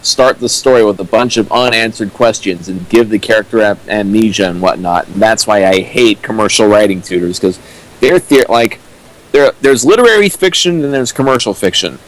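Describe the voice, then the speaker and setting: A man's voice: fairly low pitch, normal speed, rough recording. A young man holding forth on writing theory in a casual stream chat, earnest and full of fillers. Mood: lecturing. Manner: earnest lecturing with many fillers. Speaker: co-host riffing a fanfic. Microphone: home mic on a stream.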